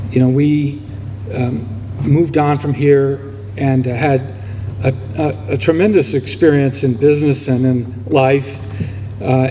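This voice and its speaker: A man in his sixties with a very soft, dark tone speaks to a gathering in an echoing hall. He is reflective and halting, telling things informally, with some background noise. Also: medium pitch. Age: 60s. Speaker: donor speaking at a ceremony. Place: large room, public event.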